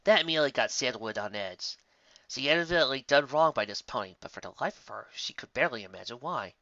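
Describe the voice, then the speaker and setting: Lively, bright narrator reading a story. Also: medium pitch, quick pace, dry recording. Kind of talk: scripted fan-fiction story narration. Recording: slightly muffled close dry recording.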